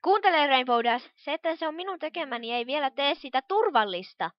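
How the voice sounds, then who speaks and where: A woman's voice: high voice, lively intonation, dry recording. A woman voicing a studious, cautious young pony magician in a cartoon fan dub, explaining things in a precise, earnest way. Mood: explanatory. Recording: dull lo-fi home recording.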